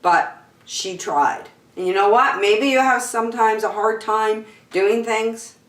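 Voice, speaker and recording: A woman's voice: a little high, takes it slowly, a bit bright. A woman reading aloud expressively to young children as a storyteller, with emphatic, encouraging phrasing, recorded in an echoey room.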